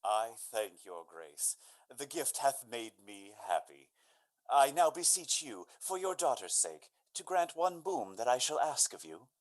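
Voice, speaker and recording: An actor playing a bold, earnest young gentleman in a Shakespearean radio drama, declaiming in a theatrical, commanding way. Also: mid-range voice, sing-song, unhurried, low-quality recording. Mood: grateful, earnest. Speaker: amateur stage actor.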